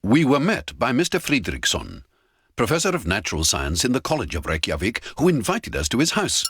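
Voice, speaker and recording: A man's voice: mid-range voice, a little fast, breathy edge, no room sound. Male narrator for dramatic audiobook. Theatrical, very varied intonation, dry close sound. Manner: expressive, theatrical. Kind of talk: dramatic scripted story narration.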